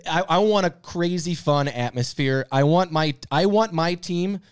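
A man's voice: on the high side, unhurried, smooth, no room sound, clean studio sound. A man co-hosting a casual sports banter podcast, talking in a rambling, emphatic, slangy way. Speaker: podcast co-host.

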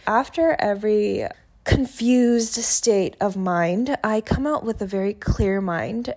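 A woman's voice: medium pitch, vocal fry, darkish tone. A young woman, a native Korean speaker, talking candidly on her own podcast in a loose, filler-heavy way. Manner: casual, candid, many fillers and "like". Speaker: podcast host. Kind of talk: solo reflective podcast monologue.

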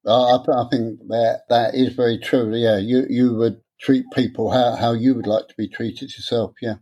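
A man, talking candidly in an interview, halting and repeating words as he speaks. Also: mid-range voice, fairly even intonation, dark tone. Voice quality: stammer. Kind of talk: personal interview.

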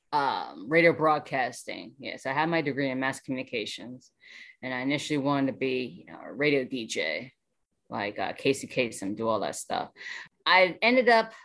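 Female voice actor guest, low register, conversational and reflective, feeling her way through answers. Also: a bit bright. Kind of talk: casual interview chat.

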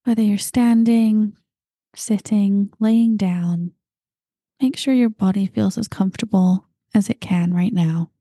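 A woman guiding a meditation, talking slowly and calmly and at times dropping into breathy whispers. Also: mid-range voice, no echo, studio quality. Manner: slow, soft and calm, drifting into breathy whispers. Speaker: meditation guide. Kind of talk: guided meditation.

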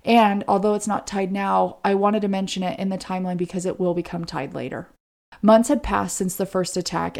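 A woman's voice: mid-range voice, gravelly, a little mellow. A woman co-hosting a podcast, narrating true crime in an informal way with chatty asides. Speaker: podcast co-host.

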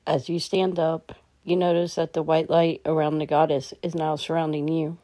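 A woman leading a guided meditation in a calm, gentle, instructional way. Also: sits low, normal speed.

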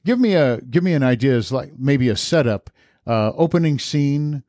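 A man interviews guests casually as a podcast host. His voice is average in pitch and recorded dry, with no room sound around it. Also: expressive, moderate pace, darkish tone, dry recording.

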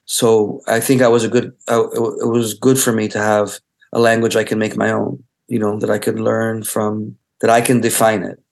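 Reflective Israeli-born man, halting speech. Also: on the low side, level tone. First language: Hebrew. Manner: halting, searching for words, many restarts. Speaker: photographer. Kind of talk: interview, reflective talk.

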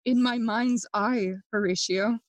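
Brooding young prince, high and slow, theatrical Shakespearean delivery, bitter with grief. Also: female voice, steady tone, no echo. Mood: bitter, mournful. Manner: theatrical, impassioned, switching between English and Spanish. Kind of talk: bilingual Shakespearean audio play acting.

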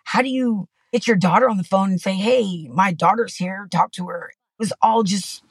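A woman's voice: medium pitch, moderate pace, husky, no room sound. A middle-aged woman telling a personal family story as a podcast guest, candid and rambling, full of "you know" and restarts. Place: Texas.

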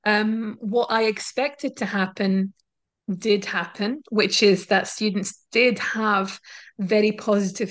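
Woman professor explaining research findings. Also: mid-range voice. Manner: thoughtful, explanatory, with frequent ums and restarts. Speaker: linguistics professor, guest. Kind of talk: academic interview answers. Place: university in Scotland.

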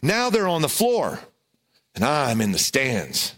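A middle-aged man with a loud voice, preaching a sermon and telling a self-deprecating, humorous personal story. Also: medium pitch, huge pitch swings, takes it slowly. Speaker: pastor.

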